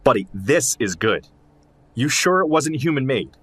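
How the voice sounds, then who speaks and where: An actor playing a familiar, concerned fatherly friend in an audio drama, with a husky voice. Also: mid-range voice, sing-song, moderate pace, fairly bright tone. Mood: impressed, curious. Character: warm, concerned fatherly male friend of an investigator.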